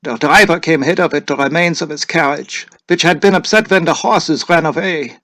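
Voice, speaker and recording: Man playing deferential hotel keeper, higher voice, solicitous, modest, storybook drama. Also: moderate pace, sharp tone, dry recording. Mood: obliging.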